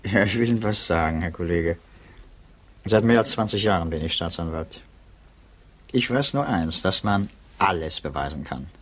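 Husky, musing middle-aged prosecutor. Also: male voice, low voice, lively intonation, fairly bright tone. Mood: philosophical. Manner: measured, musing. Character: reflective, philosophical middle-aged public prosecutor. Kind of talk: 1950s German radio drama acting.

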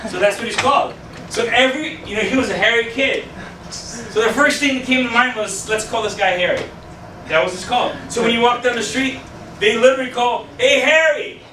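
A man's voice: extremely high pitch, fairly quick, background noise. A man in his thirties, a youth pastor with a stuffed-up nose, talking casually to a youth group and asking it questions. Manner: conversational, asks the audience questions, gestures widely. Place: small meeting room with a youth group. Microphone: far camera, no mic. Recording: echoey room from a distant camera.

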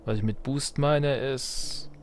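A man's voice: mid-range voice, fairly even intonation, slow pace, a little hiss or hum. A man giving casual let's play commentary on a strategy game, thinking aloud as he plays.

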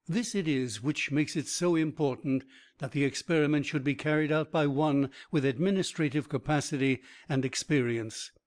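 A man reading a biography aloud as an audiobook narrator, in an even, measured way. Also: a little high.

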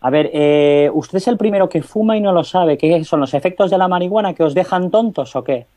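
A man's voice: high-pitched, fairly quick, dark tone, no room sound, lightly acted. A man with a Spanish accent from Spain playing a stern, impatient policeman in a prank phone call, bossy, sarcastic and interrogating. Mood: scolding. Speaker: prank caller and host.

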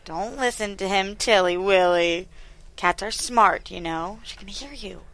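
A woman acting an affectionate, gently chiding partner at home in a script table read, casual in manner. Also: mid-range voice, a little slow, a little hiss or hum. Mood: playful.

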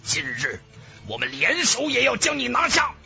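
A haughty, combative swordsman from a fantasy audiobook, acted out by a male narrator. He declaims in a very bright, hard-edged voice with gravel in it. The pitch is high and forceful. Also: wildly swooping pitch, moderate pace, soft background music, heavily acted. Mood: battle-ready, fierce. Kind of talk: audiobook character acting.

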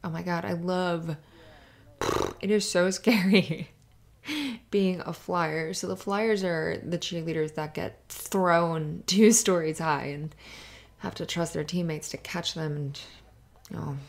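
A woman playing a sweet, flustered young cheerleader in an ASMR roleplay, halting and stammering as she hides that she is upset. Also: on the low side, fairly even intonation. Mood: confiding. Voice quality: creaky, often dropping to breathy whispers. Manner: halting, stammering, nervous little laughs. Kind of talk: ASMR roleplay, spoken to the listener.

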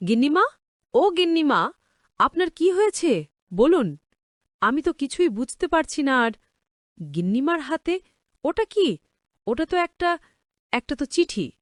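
A meek young cartoon maid, played by a woman, high-voiced with wide swings in pitch. She sounds earnest, wide-eyed and deferential, in a dry studio sound. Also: sing-song, a little slow, long pauses, no echo. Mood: puzzled, worried. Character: humble, hardworking young orphaned housemaid, earnest. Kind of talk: cartoon story voice acting.

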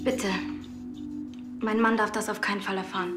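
An actress playing a defensive young wife hiding a secret in a TV crime drama, naturalistic in style. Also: female voice, mid-range voice, fairly even intonation, normal speed, roomy sound, loud music behind the voice, close to an everyday voice. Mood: pleading, insistent. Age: young adult.

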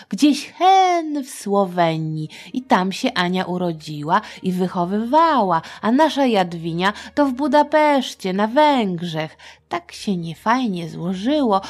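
A woman hosting a children's history podcast, telling the story in a playful, chatty, expressive manner. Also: mid-range voice.

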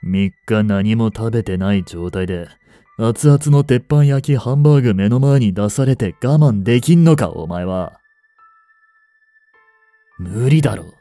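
A man's voice: lowish voice, mellow, dry recording. An actor playing a blunt, bossy vampire older brother in a Japanese romance voice drama, scolding as he holds back his hunger. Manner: blunt, rough-spoken, bossy.

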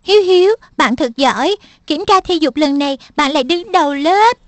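A woman's voice: high-pitched, animated, normal speed, dry recording. A woman voicing a cheerful, curious schoolgirl in a dubbed cartoon, asking eager questions. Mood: bright curious. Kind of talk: cartoon dubbing.

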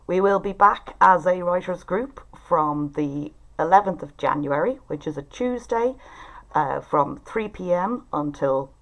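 Woman, Irish accent, low-pitched, informal group host, video call. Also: measured pace. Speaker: writers' group facilitator and event host. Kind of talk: informal hosting of an online group discussion. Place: indoors at home.

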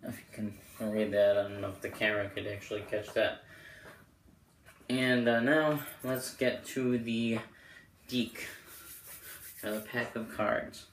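Young male reviewer, low-pitched and hoarse, casual rambling delivery, echoey small-room camera audio. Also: fairly even intonation, unhurried, pauses often. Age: young adult. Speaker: host of playing card deck reviews. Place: small bedroom, indoors. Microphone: camera mic a short way off. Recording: roomy echo, clear background noise.

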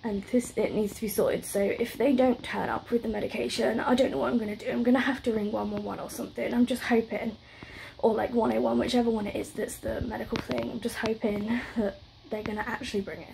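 A woman's voice: a little high, steady tone, fast talker, vocal fry, a little mellow, slight background noise, low-quality recording. Stressed, near-tearful British woman, chatty. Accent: British English. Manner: rambling, chatty, with many fillers and run-on stories. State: stressed and close to tears. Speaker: vlogger. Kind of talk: casual chat to camera. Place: at home, indoors.

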